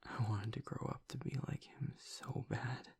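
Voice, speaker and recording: A man playing a grieving young werewolf boyfriend, tough but vulnerable, choked up and halting as he confides in an intimate audio roleplay monologue. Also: mid-range voice, fairly even intonation, exaggerated voice. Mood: reverent, sorrowful. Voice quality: breathy, gravelly near-whisper. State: choked up with grief.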